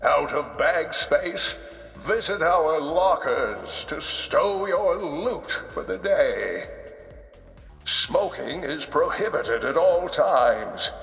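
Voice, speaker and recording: An actor playing a kindly old scholar in a video game, making slow, solemn, wry announcements with a creaky, weathered voice. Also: male voice, a little high, lively intonation, a bit bright, exaggerated voice. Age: older. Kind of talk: scripted game announcer voice lines. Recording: echoing hall reverb, music behind.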